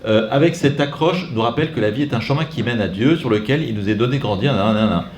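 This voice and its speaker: A man's voice: medium pitch, fast talker. Guy rambling thru a training talk, jokes at himself, roomy echo and faint hum behind. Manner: chatty, digressive, self-mocking asides. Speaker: speaker training catechists. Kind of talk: informal training talk with screen demo. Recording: echoey room, faint ambience.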